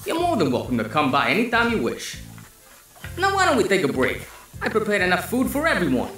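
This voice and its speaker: Man acting a genial, very animated cook. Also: high voice, a little fast, full character voice. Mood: welcoming. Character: genial, generous cook hero. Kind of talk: fan comic dub acting. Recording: echoey, faint music behind.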